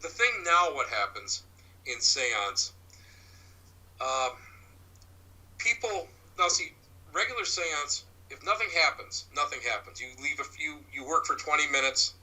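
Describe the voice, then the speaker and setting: Loud, forceful man explaining at length. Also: on the high side, big pitch swings, measured pace, poor audio. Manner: rambling, full of restarts and fillers. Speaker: paranormal investigator and medium. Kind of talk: interview guest, casual explaining.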